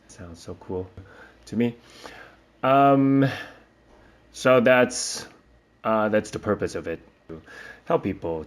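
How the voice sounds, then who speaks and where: Slow, hesitant man from Taiwan. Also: on the low side. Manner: hesitant, rambling, frequent fillers and restarts. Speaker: teacher. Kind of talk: casual spoken reflection.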